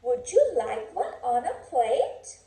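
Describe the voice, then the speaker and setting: A woman hosting a children's storybook read-aloud, expressive and playful, with coaxing questions. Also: fairly high pitch, slow. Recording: echoey room.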